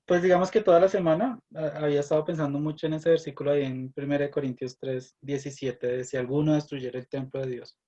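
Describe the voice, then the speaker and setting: Hesitant man with a mellow voice. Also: fairly high pitch, steady tone, fast talker, few pauses, dull audio. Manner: hesitant, many fillers. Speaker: Bible study participant. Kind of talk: casual Bible discussion.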